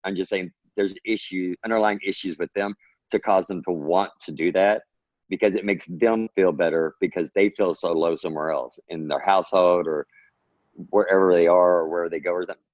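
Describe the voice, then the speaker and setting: A low-voiced man with a drawl talks earnestly in a rambling, encouraging way. His voice comes over a dull, lo-fi video call and sounds dry and close. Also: a little fast, darkish tone, dry recording. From Texas. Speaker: guest author. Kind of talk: casual interview talk.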